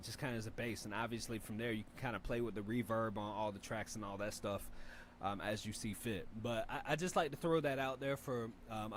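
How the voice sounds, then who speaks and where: A laid-back man with a deep voice talks through mixing advice for a rap review stream, rambling and peppered with fillers and swearing. His pitch sits mid-range for a man. A faint hum of room noise runs under him. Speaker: rap review show host and engineer. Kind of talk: casual spoken feedback and mixing tips.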